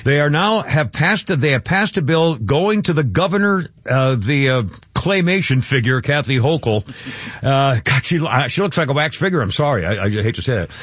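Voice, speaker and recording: A man's voice: medium pitch, lively intonation, moderate pace, fairly bright tone, no echo. A man hosting a talk radio show, rambling with many restarts and fillers in casual opinionated chat. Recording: dull lo-fi radio broadcast, dry.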